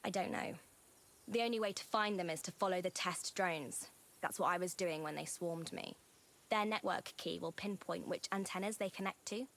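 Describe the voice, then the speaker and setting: A woman's voice: fairly low pitch, moderate pace, a bit bright. An actress playing a rebellious young woman, a brash, defiant drone racer in a video game. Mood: confident, businesslike. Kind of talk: video game cutscene acting.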